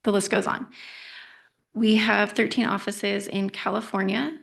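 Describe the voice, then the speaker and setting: A woman consultant presenting her firm at a council meeting, soft-spoken and quiet. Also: mid-range voice, steady tone, unhurried, vocal fry.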